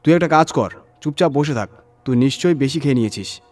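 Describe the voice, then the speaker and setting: A man's voice: medium pitch, animated, quick pace, a little mellow, soft background music, close to an everyday voice. A man voicing a sceptical, bossy senior truck driver who scolds and dismisses his helper in a horror audio story. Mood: scolding. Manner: dismissive, challenging, exasperated.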